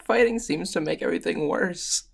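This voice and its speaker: A woman's voice: fairly low pitch, moderate pace, vocal fry, breathy edge, voice pushed down, full character voice. A woman acting a self-doubting, guilt-ridden young character in an actual-play game, despairing and self-blaming.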